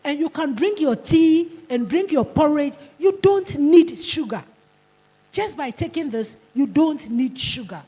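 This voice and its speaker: A woman's voice: sits high, animated, measured pace, mellow. A Ghanaian woman giving a conference presentation, emphatic and repeating words as she restarts her sentences. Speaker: conference speaker. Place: conference hall.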